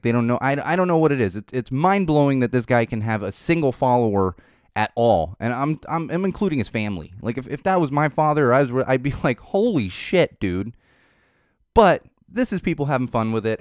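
A man hosting his podcast from a home studio, talking loosely with lots of fillers and repeated words, blunt and sardonic at times, and a bit hoarse. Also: mid-range voice, darkish tone, dry recording. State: losing his voice. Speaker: podcast host. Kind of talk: casual solo podcast talk. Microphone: studio mic with headphones.

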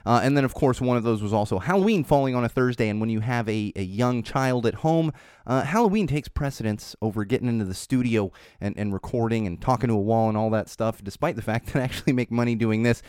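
A man's voice: mid-range voice, quick pace, a little mellow, clear, resonant tone, dry recording. A man hosting his own podcast, talking in a casual, rambling way while still congested from a cold, recorded on a studio microphone. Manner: chatty, rambling, self-promoting. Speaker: podcast host. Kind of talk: casual solo podcast talk. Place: small studio.

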